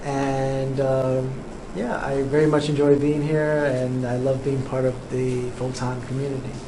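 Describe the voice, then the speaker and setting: Soft-spoken New artist in a roomy studio. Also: male voice, medium pitch, little pitch movement. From Cuba, raised in New York. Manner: soft-spoken, unhurried, with frequent ums. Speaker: visual artist, interviewee. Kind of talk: relaxed interview answers. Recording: roomy studio, faint background noise.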